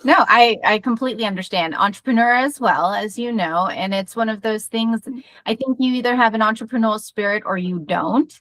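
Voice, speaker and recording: A woman's voice: mid-range voice, a little fast, fairly bright tone, dry recording. A woman therapist hosting a podcast, talking in an upbeat, chatty way over a video call. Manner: upbeat, chatty, laughs easily. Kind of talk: casual interview chat.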